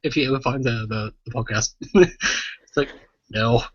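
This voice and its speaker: A man's voice: medium pitch, normal speed, a bit bright, breathy edge, no echo, muffled recording. A man hosting a casual, sweary podcast in a playful, jokey way. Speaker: podcast host.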